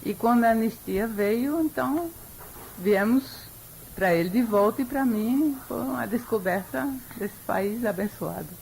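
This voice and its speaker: An older woman historian, being interviewed for a radio program. She speaks in a measured, thoughtful way, pausing often to find her words. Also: fairly high pitch, animated, creaky, darkish tone, a little hiss or hum, poor audio. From Europe. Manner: measured, thoughtful, with frequent hesitations.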